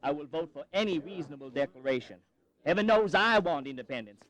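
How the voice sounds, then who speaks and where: An actor playing an earnest colonial delegate in a historical audio drama, speaking in a formal, measured way. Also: male voice, fairly high pitch, expressive, crowd noise, close to an everyday voice, rough recording. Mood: resigned, resolute. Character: earnest, conceding male North Carolina colonial delegate.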